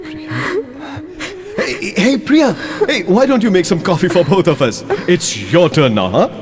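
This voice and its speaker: A man's voice: high-pitched, wildly swooping pitch, measured pace, heavily acted. An actor with an Indian accent playing an awkward, tender young man secretly in love in an audio play, hesitating and repeating his words. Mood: anxious, coaxing. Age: young adult. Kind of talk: audio play acting with introspective monologue. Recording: long reverb, music underneath. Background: music.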